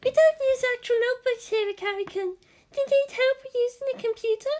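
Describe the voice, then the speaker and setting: Anxious, very high-pitched schoolgirl. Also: a little fast, a little mellow. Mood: helpful, polite. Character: anxious, earnest, reserved schoolgirl class representative. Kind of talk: fan fiction character reading.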